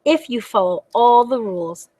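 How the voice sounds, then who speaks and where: Woman as firm, high-voiced storybook Emma. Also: lively intonation, normal speed, mellow, no echo. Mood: reassuring. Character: Emma, firm, sensible girl setting rules. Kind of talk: storybook read-aloud.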